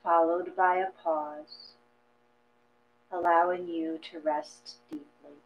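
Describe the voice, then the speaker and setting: A woman reading a guided meditation from a script in a gentle, measured manner, leaving long pauses between phrases. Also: on the low side, very animated, gravelly. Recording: dull lo-fi audio with mains hum.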